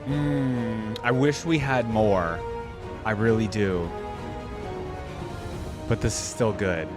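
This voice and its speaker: A man's voice: lowish voice, steady tone, slow pace, over music. A man giving casual reaction commentary as an online host, enthusiastic and informal in a conversational way.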